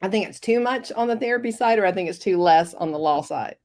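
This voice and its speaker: A woman's voice: mid-range voice, lively intonation, fast, a little mellow. Scratchy-voiced woman recovering from bronchitis. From Tennessee. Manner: hesitant, many fillers. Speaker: guest, health coach. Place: home office. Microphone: video call.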